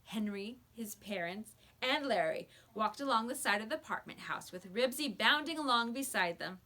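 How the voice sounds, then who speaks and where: A woman reading a children's book aloud with expressive storybook narration, like a teacher to a class. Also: a little high, moderate pace.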